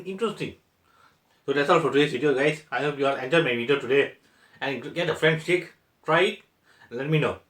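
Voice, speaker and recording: Playful man with a bouncy, high voice. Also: fairly bright tone. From Malaysia. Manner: playful and exclamatory, laughs often, talks to viewers. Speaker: food vlogger. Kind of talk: casual taste-test vlog. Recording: echoey room.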